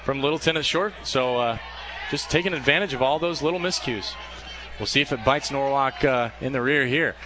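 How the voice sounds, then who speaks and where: A man doing radio play-by-play for a baseball game, calling pitches in a steady flow that lifts when a play unfolds. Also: brisk. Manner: steady calls, rising excitement on plays. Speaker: play-by-play announcer.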